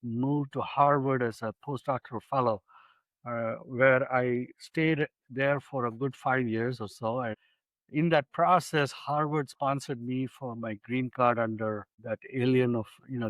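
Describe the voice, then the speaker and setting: A man in his sixties from India, a physician guest telling his story in an unhurried, reflective way in a studio interview. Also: medium pitch, fairly even intonation, fairly bright tone, no echo, low-quality recording. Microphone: studio microphone.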